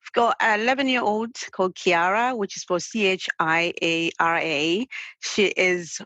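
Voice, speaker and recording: A woman's voice: on the low side, normal speed, sharp tone, no echo. A woman from Zimbabwe, a guest author who answers in a chatty way and laughs often. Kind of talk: conversational interview answers.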